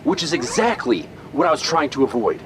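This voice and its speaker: A man's voice: a little high, very animated, brisk, background noise. A man playing a sardonic billionaire hero. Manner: sardonic, commanding. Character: cocky male billionaire hero leader.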